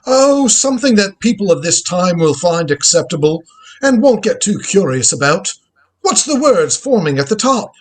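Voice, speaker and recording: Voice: mid-range voice, very animated, normal speed. A performer playing an inquisitive old grandfather scientist who travels through time, musing and exclaiming theatrically in a script reading of a radio drama. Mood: explaining.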